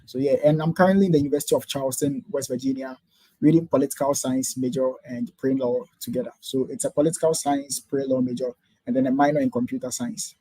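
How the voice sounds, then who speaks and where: A man's voice: medium pitch, fairly even intonation, dark tone, slight background noise, poor audio. A man in his twenties from Ghana with a Ghanaian accent, eagerly explaining his background as an interview guest. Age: 20s. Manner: quick, eager, explaining step by step. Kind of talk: interview answers.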